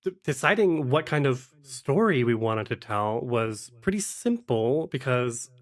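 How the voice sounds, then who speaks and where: A man's voice: mid-range voice, sing-song, unhurried. A man speaking as a podcast guest, explaining his work in a casual, conversational way. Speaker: podcast guest, actual-play podcaster and game master. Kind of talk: casual interview chat.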